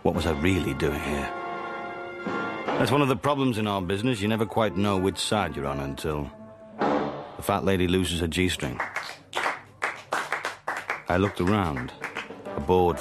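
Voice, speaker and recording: An actor playing a world-weary, sardonic Cold War spy, narrating a radio drama in a dry, understated manner. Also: male voice, low-pitched. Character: wry, world-weary Cold War secret agent, insubordinate.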